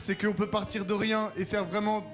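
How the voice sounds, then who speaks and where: A man hosting a live event in a hyped, loud style, rallying the audience. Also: sits high, level tone, normal speed. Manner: loud, hyping up a crowd. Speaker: event host. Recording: loud music and cheering crowd behind.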